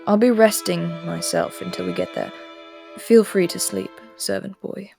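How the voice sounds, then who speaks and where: A woman voicing an aloof, aristocratic immortal prince in an audio roleplay drama, speaking in a cool, commanding tone with soft murmured asides. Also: lowish voice, sing-song, moderate pace, gravelly, soft background music, heavily acted. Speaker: voice actor.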